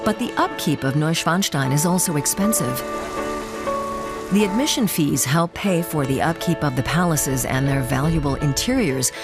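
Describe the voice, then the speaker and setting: A woman's voice: low-pitched, animated, normal speed. A woman reading scripted narration for a travel report as a documentary narrator. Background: background music.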